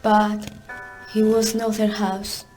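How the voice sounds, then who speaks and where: A woman narrates a scripted story at a slow pace. Her voice is mid-pitched, and music plays clearly behind her. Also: monotone, mellow, rough recording.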